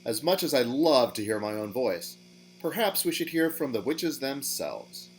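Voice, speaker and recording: A man's voice: medium pitch, very animated, normal speed. A man playing a suave, vain demon official in an audio drama, giving a theatrical, formal, self-satisfied speech. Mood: smug, gracious. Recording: mains hum, home recording.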